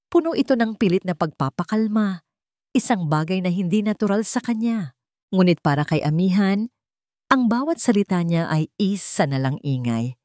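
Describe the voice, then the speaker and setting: A woman's voice: medium pitch, animated, moderate pace, warm tone, no room sound, clean studio sound. A woman reading scripted dramatic narration of a romance story as the storyteller.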